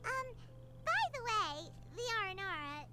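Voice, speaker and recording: A woman voicing a tiny, childlike floating companion in a video game, squeaky and cutesy. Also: sing-song, measured pace, heavy background noise, full character voice. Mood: chatty. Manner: squeaky, cutesy, refers to herself in third person. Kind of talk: game voice acting. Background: game music.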